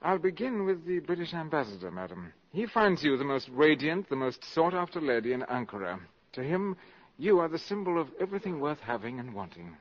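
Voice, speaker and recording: An actor playing a calculating, ambitious valet in a 1950s radio drama, speaking formally and deferentially but with self-assurance. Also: male voice, fairly high pitch, very animated, fairly quick. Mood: suave deference. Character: smooth, calculating, ambitious valet addressing a countess. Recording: muffled vintage 1950s radio recording.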